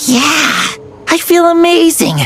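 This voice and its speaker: A woman's voice: extremely high pitch, sing-song, slow, a bit bright, slight background noise, full character voice. An actress voicing a frail young boy, hesitant and stammering with wonder. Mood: awed. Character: frail young boy, awed after a tournament. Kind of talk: video game voice acting.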